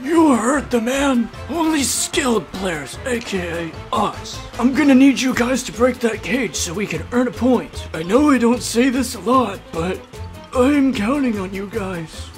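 A man's voice: very high-pitched, lively intonation, a little slow. A man voicing a sly, dry-witted team player in a cartoon parody dub. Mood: wry rallying. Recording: faint music behind the voice.

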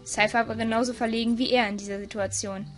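A woman's voice: a little high, fairly even intonation, fast, soft background music. A woman reading scripted story narration for an audio drama.

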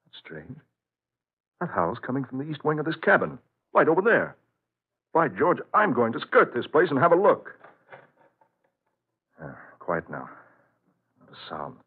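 A husky-voiced, inquisitive man on edge in a vintage radio play. His pitch swings widely as he questions everything. The sound is narrow and muffled, like an old broadcast. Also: huge pitch swings, unhurried, long pauses, full character voice. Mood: wary, investigating. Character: uneasy, inquisitive man visiting an old friend. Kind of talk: 1940s radio drama acting.

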